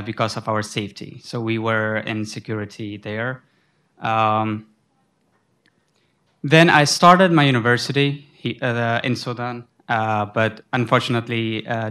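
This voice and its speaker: A man's voice: medium pitch, fairly bright tone, smooth. A young man from Afghanistan, a student on a panel, telling a long rambling story full of restarts. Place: college library.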